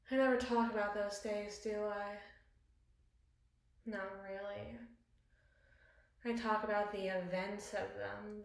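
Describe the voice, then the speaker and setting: A woman playing a battle-scarred, commanding evil queen in an intimate ASMR roleplay, speaking formally and wistfully with deliberate, theatrical pauses. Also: medium pitch, darkish tone. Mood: melancholy. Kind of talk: ASMR roleplay, slow dramatic monologue. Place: small bathroom, indoors. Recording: echoey tiled bathroom.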